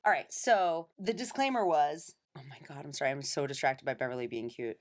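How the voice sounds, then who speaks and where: A woman in her thirties with a New York accent and a slightly low voice. She tells her stories in a chatty, rambling way, padded with fillers, as a guest on a casual podcast. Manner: chatty, fast storytelling with lots of fillers. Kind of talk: casual chat.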